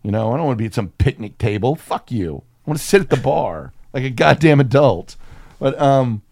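A man's voice: medium pitch, expressive, brisk, creaky, darkish tone, dry recording, clean studio sound. A man in casual comedic chat as a podcast co-host, riffing irreverently and swearing freely.

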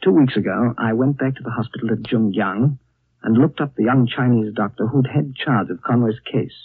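An actor playing a reflective storyteller recounting a strange tale in a deliberate, suspenseful 1930s radio style. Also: male voice, medium pitch, slightly rough, no room sound, narrow, tinny audio. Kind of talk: 1930s radio acting, dramatic narration. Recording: thin, dry 1930s radio broadcast.

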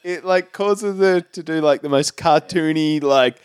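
A man's voice: a little high, a little slow, clean tone, no room sound, studio quality. A man hosting a podcast in casual, conversational chat. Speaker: podcast host.

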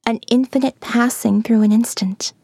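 A woman narrating a first-person horror audiobook, slow and hushed, often dropping to a whisper. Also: on the high side, steady tone, creaky, husky, dry recording.

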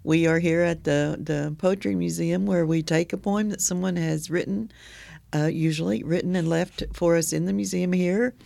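A man of sixty hosts a loose, joking chat, laughing easily at his co-host's quips. His voice is heavily gravelly and recorded dry and close, with no room sound. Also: fairly high pitch, fairly even intonation, normal speed, dark tone, no room sound. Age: 60s. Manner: laughs often, chatty and joking. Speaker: podcast host. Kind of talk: casual chat. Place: poetry museum.

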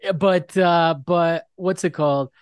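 Laid-back higher-voiced man, dry close mic. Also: fairly even intonation, a little slow, clean tone, dry recording. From United States. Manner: laid-back, uses like and dude, laughs often. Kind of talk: casual conversation.

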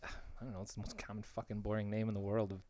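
A man's voice: fairly low pitch, little pitch movement, very fast, warm tone, slight background noise. A man in his thirties from Illinois, co-hosting a casual podcast in a laid-back, joking way, with a slightly nasal tone. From Illinois, USA. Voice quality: put-on nasal tone. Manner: laid-back, joking, laughs often, self-mocking. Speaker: podcast co-host. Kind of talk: casual chat.

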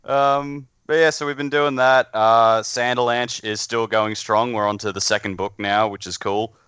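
A man's voice: mid-range voice, steady tone, moderate pace, fairly bright tone, smooth, no echo, highs cut off. An Australian man chatting casually as a podcast guest, blunt and self-deprecating, with plenty of swearing. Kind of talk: casual chat.

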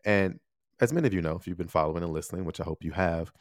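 A man's voice: low-pitched, racing, dry recording, studio quality. A man in his thirties from the United States, hosting a casual solo podcast and talking things through with lots of "you know" and "right?". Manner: conversational, frequent "you know" and "right?" tags. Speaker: podcast host.

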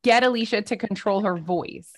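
A woman in her thirties co-hosting a podcast, teasing and excitable, saying "like" a lot. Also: medium pitch, moderate pace. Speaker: podcast co-host. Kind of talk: casual podcast chat.

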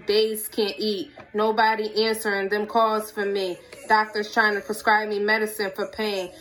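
Woman reciting spoken word, very even pitch.

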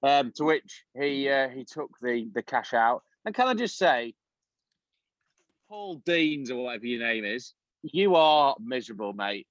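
A man's voice: medium pitch, lively intonation, unhurried, fairly bright tone, dry recording. Guy on a podcast, rambly story with loads of ums, muffled dry mic. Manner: rambling anecdote-telling with many fillers. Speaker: podcast co-host. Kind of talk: casual chat.

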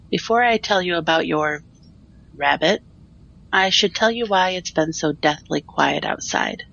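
A woman's voice: low voice, vocal fry, a bit bright, muffled recording, exaggerated voice. A woman playing a solemn, mysterious fortune-telling queen, speaking formally and cryptically in an audio drama.

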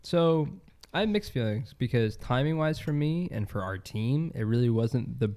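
A man's voice: medium pitch, lively intonation, moderate pace, mellow. A man co-hosting a podcast, nasal from a cold, rambling casually with many fillers. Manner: rambling, lots of "like". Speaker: podcast co-host, esports player. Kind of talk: casual chat.

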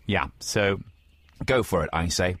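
A man's voice: sits low, no echo, studio quality. A man voicing a sycophantic, snobbish confidant who rambles pedantically in an improvised comic radio play. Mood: earnest flattery. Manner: flattering, halting, pedantic.

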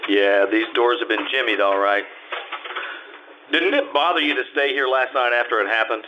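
An actor playing a laconic, skeptical private detective who also narrates, in an old-time radio drama. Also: male voice, medium pitch, normal speed, lo-fi sound, background noise, close to an everyday voice. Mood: terse. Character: dry, world-weary private detective.

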